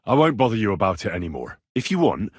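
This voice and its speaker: An earnest young man of the Middle Ages for a video game. His pitch moves around a lot in a middle register, with a slightly husky edge. He is plain-spoken and stubborn, always trying to persuade, and recorded very dry. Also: sing-song, quick pace, no room sound. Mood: calm reassuring. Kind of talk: acted video game dialogue lines.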